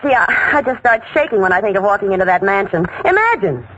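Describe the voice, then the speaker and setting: Level-headed roommate, high animated voice, wry and polite, dull old radio sound. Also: female voice, fast. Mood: polite, excited. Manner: polite, with dry exasperation. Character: sensible, wry young American woman, long-suffering roommate. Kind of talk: 1940s radio comedy acting. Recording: noisy, muffled 1940s radio recording.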